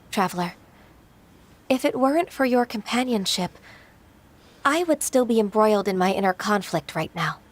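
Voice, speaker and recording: A woman voicing a gentle, dutiful, shy half-divine secretary in a game, speaking softly, earnestly and formally. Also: on the high side. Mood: grateful. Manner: soft-spoken, earnest, formal. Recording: game audio with clear background music.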